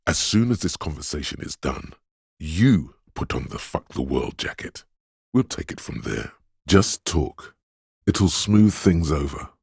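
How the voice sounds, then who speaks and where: Sly game narrator, extremely low-pitched, heavily raspy, measured, insinuating, knowing inner voice. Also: male voice, a little mellow, no echo, studio quality, full character voice. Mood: sly, coaxing. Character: sly, knowing inner voice reading people and coaxing the hero. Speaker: video game narrator voicing inner thoughts. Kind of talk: scripted dramatic game narration.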